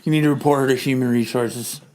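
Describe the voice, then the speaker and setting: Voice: medium pitch, steady tone, fast talker, a little mellow, pitched below the performer's own voice, lightly acted, low-quality recording. A performer in an amateur comic reading, voicing an exasperated, bossy wife who gives dry, nagging instructions.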